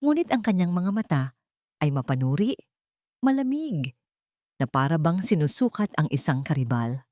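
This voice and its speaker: Woman storyteller, slightly low pitch, measured dramatic narration, pauses for effect, dry recording. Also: sing-song, darkish tone, no room sound. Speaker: narrator of romance audio stories.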